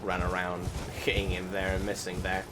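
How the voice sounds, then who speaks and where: A man's voice: sits low, monotone, moderate pace, heavy background noise. A man giving casual gameplay commentary as a voice-over, rambling and correcting himself as he goes, with the odd swear word. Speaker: gaming channel host. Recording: voice over loud game music. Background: loud game soundtrack.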